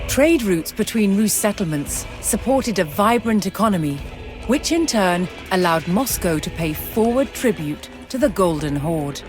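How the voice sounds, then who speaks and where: A woman delivering scripted historical narration for a strategy game, in a measured storytelling manner. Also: medium pitch, very animated. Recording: music behind the voice. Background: background music.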